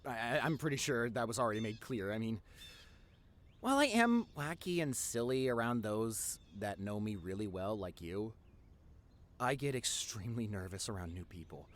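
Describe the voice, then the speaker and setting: A man's voice: lowish voice, animated, moderate pace, slight background noise, lightly acted. A man playing a nerdy, introverted young boyfriend in an intimate audio roleplay, earnest and rambling with a nervous stammer. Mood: sheepish, nervous. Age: young adult. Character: nerdy introverted young boyfriend, wacky, tender, passionate artist.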